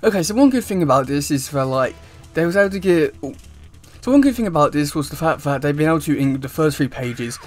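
A young man chatting casually to camera as a YouTube host, with a halting, wordy delivery. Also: on the high side, expressive, faint music behind. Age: young adult. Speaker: YouTube host reviewing comic news. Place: small room indoors at home.

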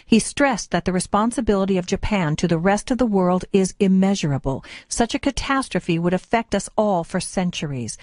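A woman's voice: medium pitch, a little mellow, dry recording, studio quality. A woman with an American accent hosting a radio talk show in a conversational way. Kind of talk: conversational radio interview, reading aloud at times. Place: radio studio.

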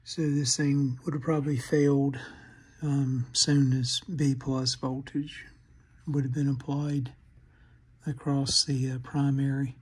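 Man with flat, husky, unhurried delivery. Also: mid-range voice. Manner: halting, thinking aloud. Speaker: host of a radio repair channel. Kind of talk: hands-on repair demonstration narration.

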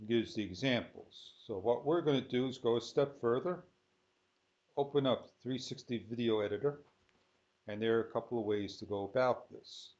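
A man giving an unscripted software tutorial, thinking aloud in short, halting phrases as he works. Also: mid-range voice. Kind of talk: unscripted screen-recorded tutorial. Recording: dull lo-fi sound.

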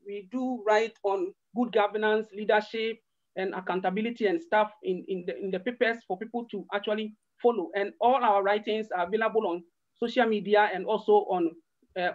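A man in his thirties with a Ghanaian accent, speaking earnestly as an interview guest and repeating words as he searches for them. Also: high voice. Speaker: guest, organisation founder. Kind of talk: video-call interview. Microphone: laptop webcam on video call. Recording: muffled video-call audio.

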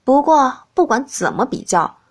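Woman reading an audiobook novel, first person, voice a bit on the high side. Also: very animated, measured pace, slightly rough. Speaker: audiobook narrator.

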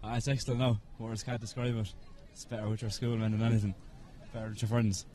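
A teenage man with an Irish accent, a school hurler speaking excitedly and casually into a handheld mic outdoors on a stadium pitch. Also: fast talker. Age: teens. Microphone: interviewer's handheld mic.

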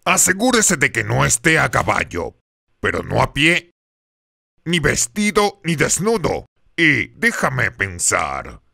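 A man playing a blustery, excitable Tsar in a cartoon folk tale, speaking theatrically with big exclamations. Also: medium pitch, slow pace, creaky, no echo, studio quality, full character voice. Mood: commanding, scheming. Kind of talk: cartoon dub acting.

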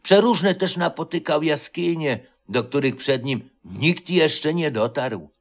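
A man plays a grey-haired old mountain man telling a folk legend in an audio storybook. He is solemn and takes his time, giving the tale a slow, stately delivery. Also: fairly high pitch, lively intonation, voice pushed up. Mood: solemn, stirring.

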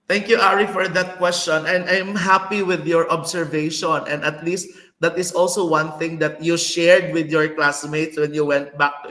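A middle-aged man with a Filipino accent, a visiting lecturer answering a student's question on a video call, explaining slowly and checking in with "okay?". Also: a little high, bright, forceful tone, roomy sound, rough recording. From Philippines. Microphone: laptop webcam on a video call.

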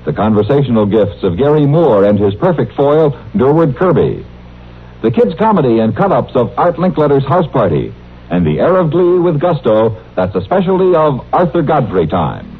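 A man's voice: medium pitch, sing-song, moderate pace, slightly rough. An American man announcing on old network radio, reading scripted copy in a polished, promotional manner. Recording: dull old radio broadcast recording.

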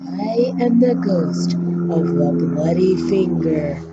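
A woman voicing a moaning ghost with a bloody finger, drawing each word out slowly. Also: on the low side, expressive, dull audio, very noisy, pitched below the performer's own voice. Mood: eerie moaning. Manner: slow drawn-out moaning.

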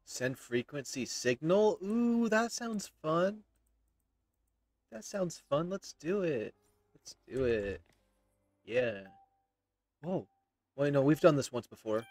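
A man's voice: a little high, very animated, slow pace, pauses often, darkish tone. A man, casually commenting on a game as he plays and repeating himself. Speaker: game streamer. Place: indoor room.

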